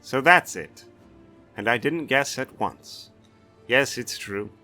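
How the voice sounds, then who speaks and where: A man's voice: medium pitch, animated, slow, pushed and bright, soft background music, pitched above the performer's own voice. A man voicing a blustering, sardonic, authoritative castellan in a fantasy audiobook. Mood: sardonic, stern. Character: blustering, weary-wry male castellan of a fantasy city.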